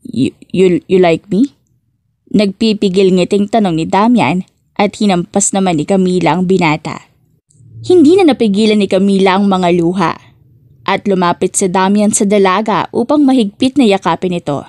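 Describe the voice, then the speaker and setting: A woman's voice: mid-range voice, animated, normal speed, warm tone, dry recording, clean studio sound. A woman reading scripted dramatic narration as the narrator of an audiobook love story.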